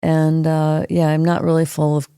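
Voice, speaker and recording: A woman co-hosting a podcast with a hoarse, froggy voice, reading an article aloud in a relaxed, unhurried way. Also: low-pitched, dry recording, studio quality. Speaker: co-host. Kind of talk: reading an article aloud, then casual chat.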